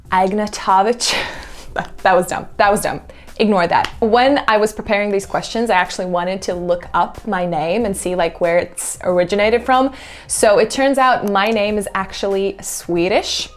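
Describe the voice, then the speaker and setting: A woman in her twenties from Latvia, answering get-to-know-me questions in a chatty, reflective way and laughing at herself now and then. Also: medium pitch. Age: 20s. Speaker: YouTuber. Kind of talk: casual get-to-know-me vlog.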